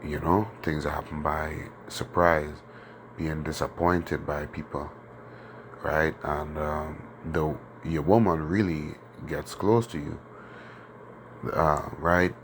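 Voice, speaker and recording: Very deep, flat-voiced man with a sore throat. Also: a little hiss or hum. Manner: conversational, many fillers and tag questions. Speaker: teacher of a religious group. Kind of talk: informal scripture lesson.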